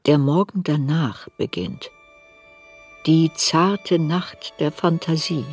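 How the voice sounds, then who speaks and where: Older writer recalling her youth, lowish husky voice, reflective, deliberate radio drama narration. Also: faint music behind, full character voice. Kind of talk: literary radio drama narration, first person.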